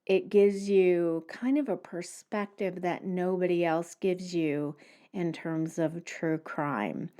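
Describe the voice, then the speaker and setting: A woman podcast host giving casual recommendations in a solo monologue, speaking in a conversational, measured way. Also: on the low side, warm tone.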